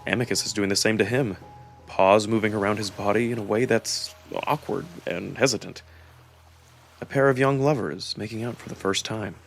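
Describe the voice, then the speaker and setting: A man narrating a first-person story dramatically, with expressive, measured phrasing. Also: lowish voice, vocal fry, soft background music. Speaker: narrator and performer of a visual novel.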